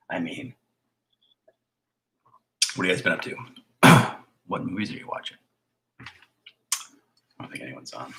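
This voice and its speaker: A man with a raspy voice hosting a casual live stream as a filmmaker, rambling in a chatty way and sometimes dropping to a whisper. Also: low-pitched, low-quality recording. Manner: hushed at times, drifting into whispers; chatty, with fillers and restarts.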